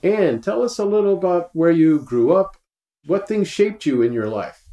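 A man's voice: high voice, expressive, moderate pace, dark tone. A man asking podcast interview questions as the interviewer. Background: plucked guitar music.

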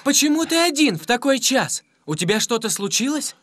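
A man's voice: extremely high pitch, very animated, brisk, a bit bright, no room sound, full character voice. A man playing a heroic young police car robot, an earnest and encouraging team leader in a Russian cartoon dub. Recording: music behind.

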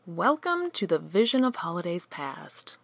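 A woman acts a brash guide to holidays past in a radio comedy. She is bossy and sarcastic, with a slightly low voice. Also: big pitch swings, measured pace, no room sound, full character voice. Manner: commanding, sarcastic. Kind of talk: comic radio play acting.

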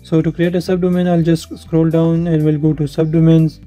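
Very dark-voiced man narrating a tutorial. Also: fairly high pitch, level tone, moderate pace. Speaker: tutorial presenter. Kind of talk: instructional screen-share narration. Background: background music.

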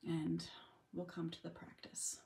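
A woman leading a guided meditation in a gentle, unhurried manner with long pauses. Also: lowish voice, completely flat delivery, vocal fry. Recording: music bed under the voice. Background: background music.

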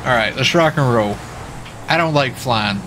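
A man's voice: mid-range voice, normal speed, noisy background. An American man talking casually in a folksy, slangy way as he streams a police roleplay game.

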